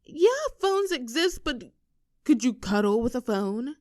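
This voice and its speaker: A woman's voice: a little high, very animated, measured pace, darkish tone, no room sound, studio quality. A woman playing an affectionate, teasing young girlfriend in an intimate roleplay audio, coaxing and giggly in a conversational way. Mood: teasing, amused. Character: playful, clingy, affectionate young girlfriend teasing her partner.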